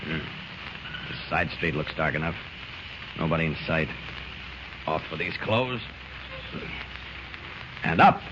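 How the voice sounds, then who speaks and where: Superhero: deep voice, resolute self-narration, muffled vintage serial sound. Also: male voice, lively intonation, slow pace, long pauses, full character voice. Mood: resolute, searching. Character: determined, heroic male superhero thinking aloud. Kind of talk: 1940s radio acting. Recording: muffled, noisy old radio recording.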